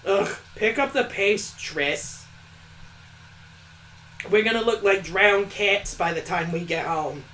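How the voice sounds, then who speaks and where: A man's voice: high voice, slow, pauses often, bright, forceful tone, slight background noise, full character voice, rough recording. A man voicing an impatient, bossy girl companion from a visual novel, urgent and exclaiming. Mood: complaining.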